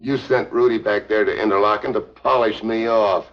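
Actor as low-voiced, wry American secret agent. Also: male voice, fairly even intonation, moderate pace, lightly acted. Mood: wry, pressing. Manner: wry, hard-boiled, unruffled. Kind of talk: 1950s television spy drama acting. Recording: dull 1950s TV film soundtrack.

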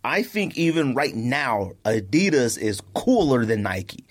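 A man's voice: mid-range voice, very animated, unhurried. A man co-hosting a podcast, chatting casually about sneakers and sports in a slangy, opinionated way. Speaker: podcast co-host.

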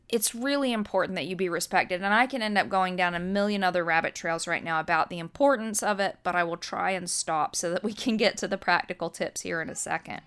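A woman's voice: medium pitch, fairly bright tone, clean tone. A middle-aged American woman hosting a podcast, explaining in an earnest teacher's manner and repeating words for emphasis. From United States. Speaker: podcast host and birth educator. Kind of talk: teaching monologue.

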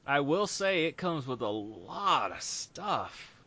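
A man's voice: fairly high pitch, slow, husky, muffled recording. A man hosting a podcast in casual chat, rambling with lots of fillers. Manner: rambling, relaxed, many fillers. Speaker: podcast host.

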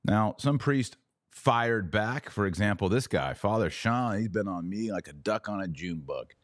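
Male podcast host, low register, unhurried and emphatic, opinionated monologue with deliberate pauses. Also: animated, no room sound, clean studio sound. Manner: emphatic, deliberate phrasing with pauses and stressed key words. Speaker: podcast host, religious commentator.

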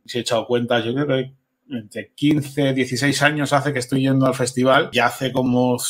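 A man's voice: medium pitch, steady tone, normal speed. A man with an accent from Spain talking casually as an interview guest, emphatic and often repeating his words. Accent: Spanish from Spain. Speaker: podcast guest, filmmaker.

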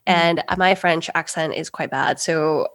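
A young woman and pastry chef answering interview questions earnestly, with soft laughs between thoughts. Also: low-pitched, measured pace, no room sound. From Los Angeles area, US. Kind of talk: reflective interview talk.

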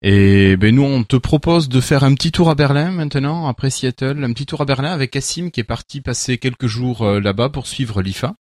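A man co-hosting a casual podcast, briskly leading into segments. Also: medium pitch, a little mellow. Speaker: podcast co-host.

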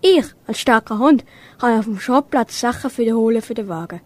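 Young girl as a high-voiced brave dog. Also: fairly quick, a little mellow, dry recording, close to an everyday voice. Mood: eager, planning. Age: young teenager. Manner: eager, barks out woofs. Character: strong, brave, helpful dog. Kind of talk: amateur radio play acting.

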